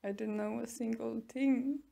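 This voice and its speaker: A woman playing a smug, taunting tsundere captor in an ASMR roleplay, mocking and teasing with drawn-out pauses. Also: a little high, fairly even intonation, gravelly, dark tone. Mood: gloating. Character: smug, taunting tsundere young woman keeping a captive werewolf.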